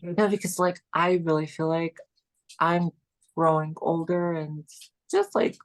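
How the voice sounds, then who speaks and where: Georgian woman, late 20s, deep-ish voice, rambly chat full of "like". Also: fairly even intonation, measured pace. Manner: rambling, filler-heavy, keeps saying "like". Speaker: guest. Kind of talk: casual chat.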